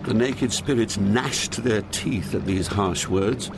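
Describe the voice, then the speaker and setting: An actor playing a poet who recounts his journey through Hell in sombre, measured literary narration, atmospheric noise behind him. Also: on the low side. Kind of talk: dramatised audiobook, literary first-person narration.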